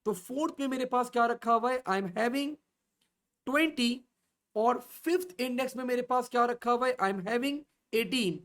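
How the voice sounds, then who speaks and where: A man's voice: very high voice, fairly bright tone. Patient male coding teacher. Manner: asks the class questions and answers them himself; slow and repetitive, stepping through values. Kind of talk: online coding lesson in mixed Urdu and English.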